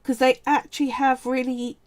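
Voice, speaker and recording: High-voiced woman teaching clay sculpting. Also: little pitch movement, no echo. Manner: chatty and explanatory, often pausing to say "right" and "so". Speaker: polymer clay tutorial streamer. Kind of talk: casual live-stream craft tutorial.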